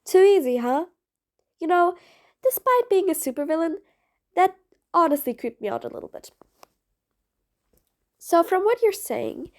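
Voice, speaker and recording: Female supervillain for audio roleplay, played by a woman: high voice, sarcastic, mean yet patient, grudgingly soft. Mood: wry, menacing. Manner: sarcastic, dry, exasperated. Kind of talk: audio roleplay acting addressed to the listener.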